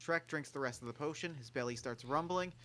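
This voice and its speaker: A man reads the stage directions with a bright, slightly high voice. He stays plain, with a few mouth sound effects thrown in. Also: fairly quick. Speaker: narrator reading stage directions. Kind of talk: table read narration.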